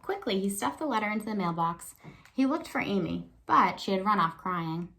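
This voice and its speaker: A woman's voice: mid-range voice, expressive, normal speed, pushed and bright. A woman teacher giving a read-aloud lesson to young children, explaining simply with encouraging questions and frequent check-ins. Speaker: elementary school teacher.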